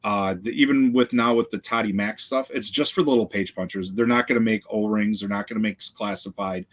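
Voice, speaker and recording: A middle-aged man with a fairly low voice, talking in a relaxed, rambling way. He hosts a casual chat from his home collection room and often trails off with "so". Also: a little fast. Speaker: podcast host.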